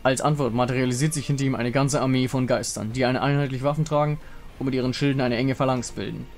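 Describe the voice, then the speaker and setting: A man's voice: medium pitch, steady tone, fast. A man narrating a fantasy game story in a dramatic scripted style. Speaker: narrator reading visual novel dialogue. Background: music.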